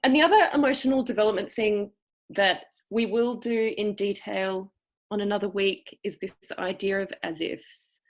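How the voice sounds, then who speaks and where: A woman with a cultivated, trained-sounding Australian accent, teaching an acting seminar over a video call. She talks thoughtfully, stopping often to find the right word. Her voice sits at a middle pitch for a woman. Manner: thoughtful, searching for words, with pauses. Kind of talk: explanatory seminar talk.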